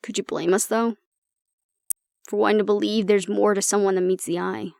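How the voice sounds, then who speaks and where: A woman playing an anxious young woman with a tough front and a soft core, confiding casually and with swearing in an intimate audio roleplay. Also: medium pitch, fairly even intonation, normal speed, creaky, no room sound, clean studio sound, close to an everyday voice. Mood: wry, guarded.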